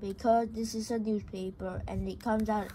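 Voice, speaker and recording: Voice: mid-range voice, flat delivery, mellow, a little hiss or hum, close to an everyday voice, low-quality recording. Amateur reader as a flustered, grumpy mouse publisher. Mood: reluctant, flustered. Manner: flustered, refusing, halting. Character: anxious, grumpy adult male mouse newspaper publisher.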